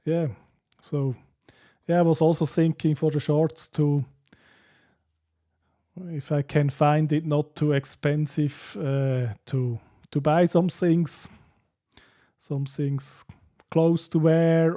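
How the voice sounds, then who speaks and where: A man's voice: medium pitch, dark tone. A man with a Swiss accent hosting a casual live stream, reading out viewer comments and often laughing between hesitant, filler-filled sentences. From Switzerland.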